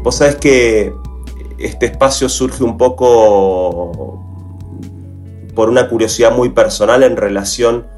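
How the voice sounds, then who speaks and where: A man's voice: medium pitch, slow, darkish tone, soft background music. A man hosting an interview podcast, admiring and prone to repeating words as he starts phrases. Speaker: podcast host.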